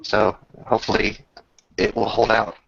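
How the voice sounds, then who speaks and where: A man works as game master, improvising scenes in a halting, stop-and-start way. His voice is heavily fried at the edges yet has a sharp, forward tone. The microphone sound is slightly muffled. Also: fairly low pitch, level tone, creaky, husky. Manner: halting, pausing often mid-sentence. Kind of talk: improvised narration of a tabletop game. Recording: dull lo-fi home microphone.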